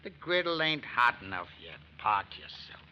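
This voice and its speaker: A grousing American diner cook, sarcastic and slangy, with a wildly swinging pitch. The old radio recording is muffled. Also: male voice, sing-song, normal speed, sharp tone, a little hiss or hum. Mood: grumbling. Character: grumbling, wisecracking male short-order diner cook. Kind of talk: old-time American radio drama acting.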